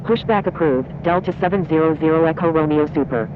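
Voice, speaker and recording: A man's voice: fairly high pitch, brisk, narrow, tinny audio. A man speaking clipped, procedural air traffic control phraseology as a radio operator.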